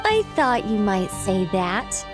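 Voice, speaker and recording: A woman voicing a gracious, playful ancient angel turned mage in a video game, with a poised, gently teasing manner. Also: mid-range voice, big pitch swings, slow pace, music in the background. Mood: gentle, amused.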